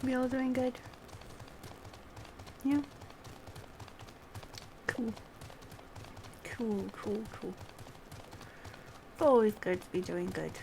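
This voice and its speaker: A woman's voice: a little high, drawn-out words, creaky, pauses often, a little mellow, a little hiss or hum, poor audio. A woman hosting a casual game livestream, chatting playfully and making light fun of herself. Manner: chatty, giggly, playful, self-deprecating. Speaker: game streamer and host. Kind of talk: casual livestream gameplay chat.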